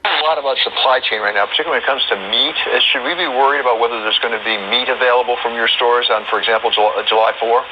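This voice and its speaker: A man briskly questioning a guest in a business news interview. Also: medium pitch, steady tone. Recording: dull noisy shortwave radio.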